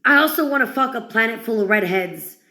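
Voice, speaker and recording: A woman's voice: on the high side, expressive, a little fast, pushed and bright, echoey room. A woman delivering a dramatic, mocking monologue to one listener in a crude, theatrical, taunting way. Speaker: performer of villain monologues.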